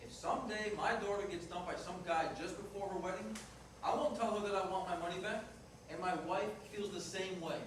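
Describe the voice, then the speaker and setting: A man's voice: high-pitched, steady tone, brisk, lightly acted. A man playing a frank, remorseful working-class ex-fiancé in a staged reading, speaking in a plain, matter-of-fact way. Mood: firm, protective. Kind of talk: staged reader's theater dialogue. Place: indoor performance room, distant microphone. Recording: echoey noisy room recorded from far off.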